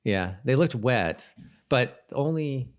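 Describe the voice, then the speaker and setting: A man's voice: mid-range voice, expressive, takes it slowly. A middle-aged man co-hosting a podcast, chatting casually and often repeating and rephrasing himself as he gives his take. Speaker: podcast co-host. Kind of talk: casual chat reviewing a TV episode.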